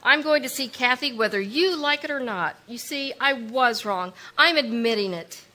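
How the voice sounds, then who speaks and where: An actress playing a proud, indignant sister in a live old-time radio drama, earnest and quick to protest. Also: female voice, a little high, bright, forceful tone. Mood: resolute. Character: proud, indignant sister Sally, easily shaken.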